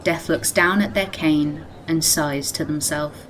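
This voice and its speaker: A woman's voice: deep voice, fairly even intonation, a little slow, noisy background. A woman playing the composed narrator of a radio play, reading scripted present-tense storytelling. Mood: measured.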